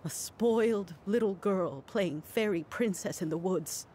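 An actress playing a guilt-ridden, judgmental middle-aged townswoman in a video game drama, with a halting, defensive manner. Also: mid-range voice, dark tone, a little hiss or hum, natural voice. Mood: bitter, indignant.

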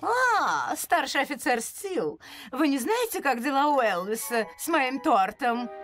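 Fussy, high-pitched middle-aged lady. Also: big pitch swings, a little slow, a bit bright, faint music behind, full character voice. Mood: anxious. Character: fussy middle-aged woman, easily worried. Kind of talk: animated cartoon dub.

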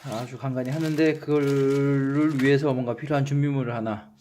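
A man's voice: mid-range voice, steady tone, moderate pace, dark tone, slight background noise. Joking man with a Gyeongsang accent. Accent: Gyeongsang Korean. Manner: joking, self-mocking, trips over words. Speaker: channel host. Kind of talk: casual unboxing vlog.

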